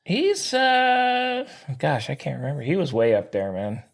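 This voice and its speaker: A man co-hosting a sports podcast, chatting casually in an animated, slangy fan's way. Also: fairly high pitch, measured pace, creaky. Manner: animated, slangy fan talk.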